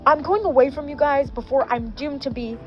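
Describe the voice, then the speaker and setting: A woman's voice: high voice, a little fast, lo-fi sound, soft background music, close to an everyday voice. A woman reading the snappy lines of a worried, image-conscious teen boy. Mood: annoyed. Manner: snappy, read in the reader's own voice.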